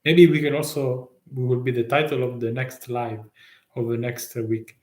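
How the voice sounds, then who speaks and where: A man with an Italian accent, a tax consultant talking live to viewers in halting, restart-filled English with rhetorical questions. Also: medium pitch, flat delivery, a little mellow, echoey room. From Italy. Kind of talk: live stream talk.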